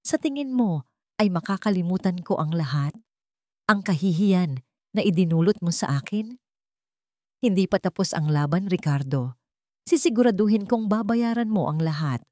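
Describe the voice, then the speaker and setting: A woman's voice: fairly low pitch, lively intonation, moderate pace, long pauses, dark tone, no echo, studio quality, lightly acted. A woman voicing a cold, haughty, vengeful businessman villain in a dramatic audio story.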